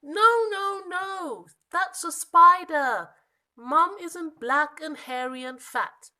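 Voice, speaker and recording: A woman voicing a small, lost young monkey from a children's storybook, plaintive and exasperated, with emphatic, drawn-out protests. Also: very high voice, pushed and bright, pitched above the performer's own voice, full character voice. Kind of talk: children's storybook character reading.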